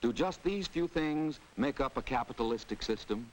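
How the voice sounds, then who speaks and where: An American man playing a formal town treasurer, giving a rhetorical, deliberate speech in 1940s instructional film style. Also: medium pitch, lively intonation, darkish tone, natural voice, poor audio. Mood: measured, didactic. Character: formal town treasurer lecturing on economic principles. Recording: hissy 1940s film soundtrack.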